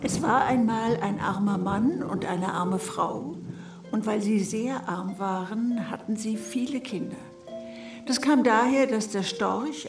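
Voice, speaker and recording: A woman playing an aunt with a deliberate storytelling manner in a radio drama. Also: mid-range voice, vocal fry, roomy sound, distant mic. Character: aunt reading a fairy tale to her little nephew.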